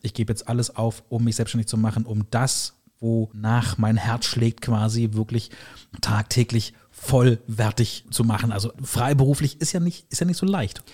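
Guy hosting a podcast, mid pitch, chatty and effusive, really leans on his words. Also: steady tone, normal speed, a little mellow. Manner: effusive, emphatic repetition. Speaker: podcast host. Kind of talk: warm-toned conversational interview and sign-off. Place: indoor studio, in person with guest.

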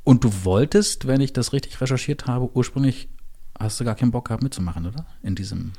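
A man's voice: medium pitch, quick pace, mellow. A man hosting a podcast, asking questions in a chatty, hesitant way with many fillers. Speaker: podcast host. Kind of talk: interview questions.

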